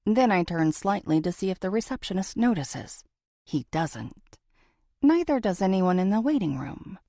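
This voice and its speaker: A woman reading a first-person thriller audiobook in a dramatic, expressive storytelling manner. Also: medium pitch, moderate pace, dry recording, studio quality. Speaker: audiobook narrator.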